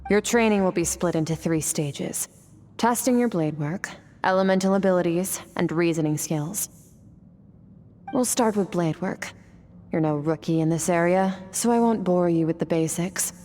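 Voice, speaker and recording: A woman playing a cool, stern young female sword mentor in a video game, speaking in a measured, aloof, instructive way. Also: lowish voice, warm tone, close to an everyday voice. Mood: commanding. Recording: music behind the voice.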